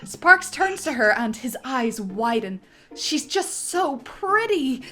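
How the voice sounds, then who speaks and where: Lively woman reading stage directions. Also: high-pitched, takes it slowly. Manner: lively, breaking into laughter. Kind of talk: dramatic script reading.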